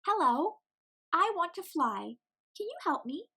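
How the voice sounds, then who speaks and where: A woman's voice: extremely high pitch, big pitch swings, measured pace, sharp tone, pitched above the performer's own voice, heavily acted. A woman voicing a small young girl penguin in a storybook, timid but stubborn, with a plaintive, earnest manner. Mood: hopeful, earnest.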